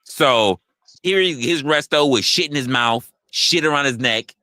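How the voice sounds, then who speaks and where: A man's voice: medium pitch, unhurried, sharp tone, no echo. A man chatting casually and profanely as a live stream co-host, telling stories by acting out dialogue and repeating phrases for emphasis. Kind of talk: casual, profane livestream chat.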